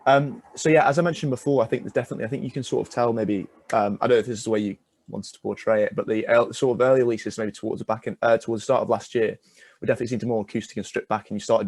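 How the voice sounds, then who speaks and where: A man's voice: on the low side, fairly even intonation, very fast, a little mellow. A young man hosting a casual radio interview, asking wordy, tentative questions in an encouraging way.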